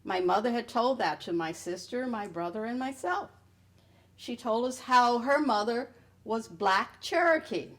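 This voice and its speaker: Woman storyteller, slow and expressively varied. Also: a little high, expressive. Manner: wry, storytelling with pointed pauses. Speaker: writer reading own piece. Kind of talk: dramatic reading of personal essay.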